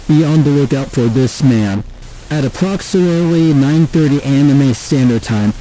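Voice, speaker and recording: A man voicing a grave, formal emergency broadcast announcer, speaking in a measured, deliberate monotone. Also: mid-range voice, slight background noise, voice pushed down, lightly acted, low-quality recording.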